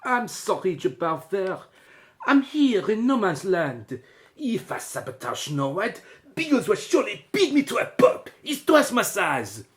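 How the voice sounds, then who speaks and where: A woman's voice: mid-range voice, big pitch swings, a little slow. A woman playing a reluctant, sulky and timid underling in a radio drama, grudging and defensive. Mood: reluctant, nervous. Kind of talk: audio drama acting.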